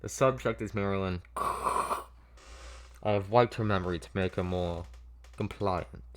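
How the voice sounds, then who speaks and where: Male performer as creepy doctor, manipulative, falsely soothing, slow coaxing delivery, horror story villain. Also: low voice, a bit bright. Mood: clinical. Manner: coaxing, drawn-out.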